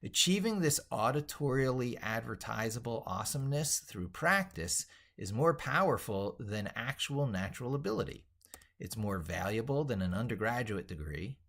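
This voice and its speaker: A man's voice: mid-range voice, expressive, normal speed, vocal fry, fairly bright tone. A middle-aged man reading his own essay aloud as he works on it, in a theatrical, wordy, emphatic way. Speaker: tech educator and video host.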